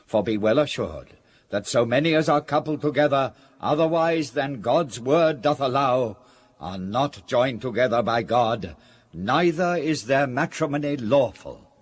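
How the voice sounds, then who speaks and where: A man's voice: medium pitch, lively intonation, unhurried, husky. An actor playing a solemn, proper clergyman conducting a wedding in a radio drama. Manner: solemn, liturgical intoning.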